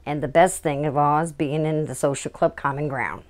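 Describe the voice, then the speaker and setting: A woman's voice: low voice, fairly even intonation, moderate pace. A plainspoken woman giving a personal testimonial as a client. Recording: music playing under the voice.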